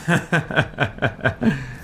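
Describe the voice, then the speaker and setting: A man streams a video game with casual, muttered commentary and mild exclamations. His pace is slow but his pitch swings a lot. Clear game noise and gunfire sit behind his voice. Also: lively intonation. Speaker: gaming streamer and host. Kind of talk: casual live gameplay commentary. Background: video game ambience and gunfire.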